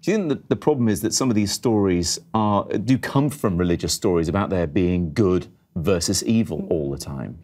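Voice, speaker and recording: A man's voice: sits low, expressive, moderate pace, hardly any pauses, warm tone. A British man presenting broadcast news and interviewing in a studio.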